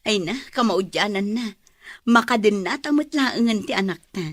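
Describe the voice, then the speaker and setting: A woman's voice: medium pitch, expressive, moderate pace, a bit bright, no echo. A woman playing a caring middle-aged mother in a Filipino radio drama, with a Filipino accent. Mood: glad news.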